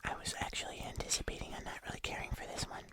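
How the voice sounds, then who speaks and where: An ASMR host speaking entirely in a soft, unvoiced whisper, chatting casually through a food review. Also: racing.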